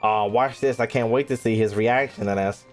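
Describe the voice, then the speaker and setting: A man's voice: mid-range voice, fairly even intonation, moderate pace. A man streaming a game with casual, slangy reaction commentary, swearing freely and heckling in mock outrage. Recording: faint game music behind the voice.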